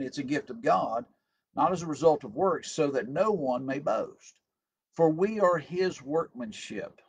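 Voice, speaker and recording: A man's voice: mid-range voice, creaky, breathy edge. An older man, a pastor, giving an earnest, plain-spoken sermon from a home room, recorded on a webcam at desk distance. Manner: earnest, plain-spoken, often restarts words. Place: home room with tall shelves, indoors.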